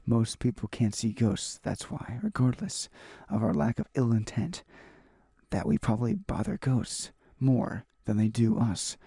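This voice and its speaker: A man hosting a gaming video, reading a visual novel aloud in a halting way, stumbling and repeating phrases. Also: medium pitch, big pitch swings, creaky, warm tone, husky, no room sound, clean studio sound.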